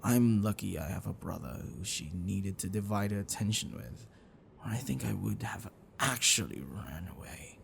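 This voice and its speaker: A man playing a gentle, bookish dragon-blooded librarian boyfriend in an ASMR roleplay, speaking in a hesitant, caring way. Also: lowish voice, lively intonation, normal speed, gravelly, a little hiss or hum, voice pushed down. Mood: wry, reflective. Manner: hesitant, soft, caring, explanatory.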